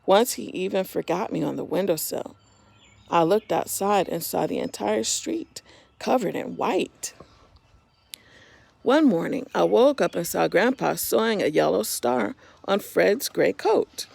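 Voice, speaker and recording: A woman reading a children's story aloud in an expressive, simple storytime manner, talking directly to young listeners. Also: mid-range voice, unhurried, vocal fry, husky, no room sound.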